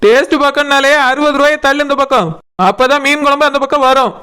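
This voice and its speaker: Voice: high voice, fairly even intonation, fast talker, bright, forceful tone, a little hiss or hum. A performer voicing a cheeky, stubborn cartoon food-stall seller who hawks and bargains emphatically in a Kanyakumari Tamil accent. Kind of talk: comic cartoon dialogue.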